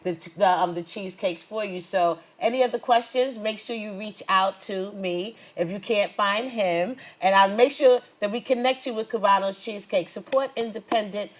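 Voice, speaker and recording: A woman's voice: mid-range voice, normal speed, few pauses. A woman hosting a local interview show and speaking to viewers. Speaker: TV show host.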